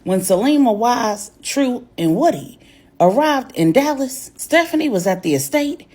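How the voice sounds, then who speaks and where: A woman's voice: fairly high pitch, expressive, measured pace, darkish tone, husky. A woman narrating a dramatic serial story in a colloquial, emphatic way.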